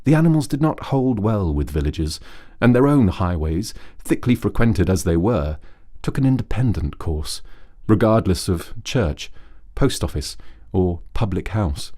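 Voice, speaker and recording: A man narrating a children's bedtime story as a storyteller, reading aloud in a measured, literary way. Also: fairly low pitch, animated, dark tone.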